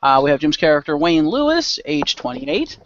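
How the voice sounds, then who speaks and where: A man's voice: on the high side, big pitch swings, moderate pace, noisy background. A man hosting and narrating a role-play show as game master in a loose, informal way. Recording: dull lo-fi close microphone.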